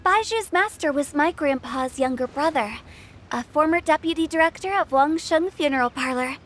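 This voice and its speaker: A woman's voice: high voice, normal speed, lightly acted. A woman voicing a mischievous, theatrical young funeral director in a video game, teasing and wordy as she talks. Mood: storytelling. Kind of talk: video game character dialogue. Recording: game music behind.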